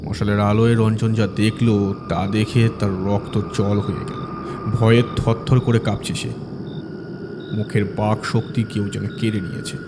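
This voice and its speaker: Low-voiced male horror storyteller. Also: flat delivery, background noise. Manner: measured, dramatic storytelling. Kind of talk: scripted horror audio story narration.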